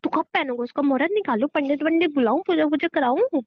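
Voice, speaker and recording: A high, girlish voice in a dull, dry recording. A young woman acts a bossy girlfriend in a couple's phone-call drama. She teases and scolds her boyfriend with sarcasm, slipping English into her Hindi. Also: animated, fast, no room sound. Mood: sarcastic, impatient. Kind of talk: acted phone-call conversation between a couple.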